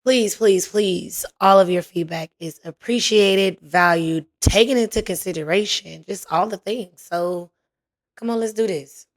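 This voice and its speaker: A young woman hosting her own podcast in casual chat, her voice raspy and hoarse as it recovers, talking playfully with lots of slang. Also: mid-range voice. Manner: chatty, playful, slangy, drawn-out emphasis. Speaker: podcast host.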